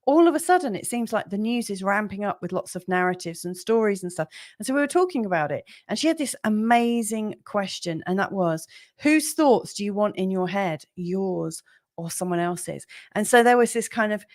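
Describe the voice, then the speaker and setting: A woman hosting a casual live stream from home, with a slightly croaky voice. She talks in a chatty, rambling way with plenty of fillers, at an average pitch. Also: animated, normal speed. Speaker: livestream host.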